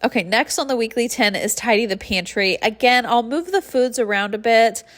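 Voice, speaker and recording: A woman's voice: fairly high pitch, normal speed. A congested young woman with a nasal, stuffy voice, chatting casually through a cleaning tutorial voice-over and breathing heavily. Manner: friendly and chatty, with light laughs and heavy breathing. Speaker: cleaning channel host.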